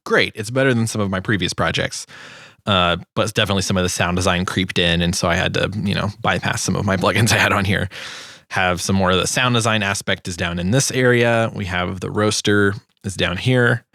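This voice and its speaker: A man's voice: fairly low pitch, fairly bright tone, no room sound, clean studio sound. A man giving an informal, self-deprecating walkthrough of his own sound design project, as in a casual tutorial.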